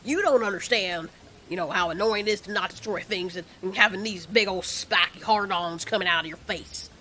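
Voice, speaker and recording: A woman voicing a dim, sheepish, destructive male giant monster in an audio drama, defensive and halting. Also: mid-range voice, sharp tone, slight background noise, voice pushed down, lightly acted, low-quality recording.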